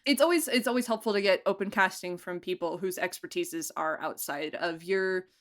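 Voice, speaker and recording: A woman's voice: on the low side, normal speed, a bit bright. A woman speaking as an audio drama director and producer in a casual interview, enthusiastic and emphatic, often giggly.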